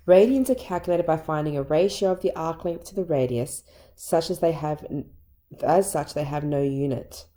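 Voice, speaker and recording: A woman teacher with an Australian accent and a croaky voice, patiently explaining a maths lesson step by step. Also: low-pitched, dark tone. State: croaky voice from illness.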